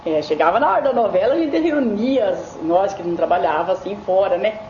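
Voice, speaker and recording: A woman's voice: mid-range voice, animated, a little fast, warm tone. An older Brazilian woman reminiscing casually and colloquially as a radio listener. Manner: colloquial, acts out remembered remarks. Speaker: radio listener giving testimony. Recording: echoey, muffled, faint background noise.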